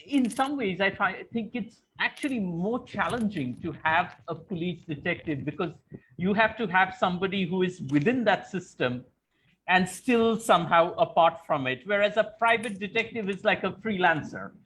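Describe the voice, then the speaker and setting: A man's voice: sits high, expressive, normal speed, fairly bright tone, slight background noise, low-quality recording. A man interviewing conversationally, thoughtfully explaining his way toward each question. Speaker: interviewer, moderator.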